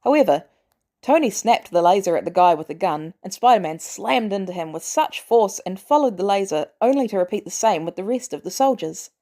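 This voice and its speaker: Female audiobook-style narrator for fan fiction, mid-pitched and highly animated in delivery. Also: brisk. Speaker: podfic narrator. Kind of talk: expressive scripted story narration.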